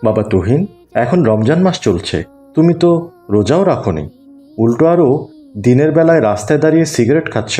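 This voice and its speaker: Kindly pious neighbourhood elder for Islamic cartoon, male actor: soft warm tone, gentle earnest counsel, music underneath. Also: mid-range voice, lively intonation, fairly quick, pauses often, lightly acted. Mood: earnest admonishing. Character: kindly pious older neighbourhood man, patiently advising.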